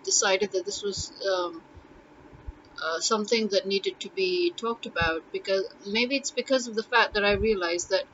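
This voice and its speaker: A woman's voice: mid-range voice, moderate pace, a bit bright, lo-fi sound, a little hiss or hum. A woman who teaches Urdu, talking casually and thinking aloud, with many ums and uhs. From Pakistan. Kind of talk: casual spoken blog monologue.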